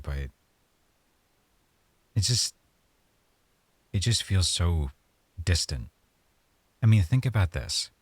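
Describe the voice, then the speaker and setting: A man playing an affectionate, hesitant young boyfriend in an intimate ASMR roleplay, speaking softly and haltingly as he thinks aloud. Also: rumbling low, no room sound, heavily acted. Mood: pondering, wavering.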